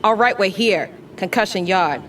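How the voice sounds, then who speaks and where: A woman's voice: mid-range voice, lively intonation, moderate pace, lightly acted. An actress playing an edgy, sardonic female hacker in a crime gang, snappy and complaining. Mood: irritated. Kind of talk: dramatic audio-drama acting. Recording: distant, noisy with vehicle and sirens.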